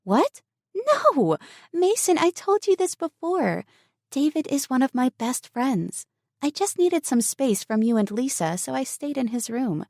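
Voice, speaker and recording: Compassionate young woman, earnest and gentle, voiced by the woman narrator. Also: high voice, sing-song, measured pace, dry recording, studio quality, pitched above the performer's own voice, full character voice. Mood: flustered. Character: kind, level-headed young woman, compassionate.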